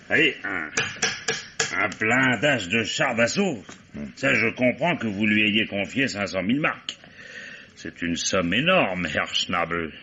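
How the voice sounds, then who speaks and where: An actor playing a wily, genial police commissioner in an old French radio mystery, drawling falsely casual questions and musing asides. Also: male voice, on the low side, animated, takes it slowly, vocal fry, pauses often, noisy background, exaggerated voice. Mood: probing, musing. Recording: dull old radio drama recording.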